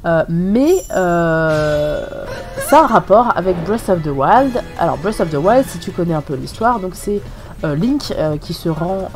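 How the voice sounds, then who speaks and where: A woman with a French accent and a cold, giving casual, rambling gameplay commentary full of hesitations. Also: on the low side. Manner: rambling, many hesitations and fillers. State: has a cold, sniffly. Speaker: gaming channel host. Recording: voice-over with faint game music.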